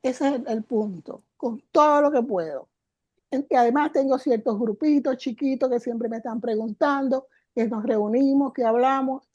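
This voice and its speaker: A woman's voice: a little high, moderate pace, darkish tone, no room sound, highs cut off. An older woman, a guest teacher, telling her story in an interview with emotion, repeating words for emphasis. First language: Spanish.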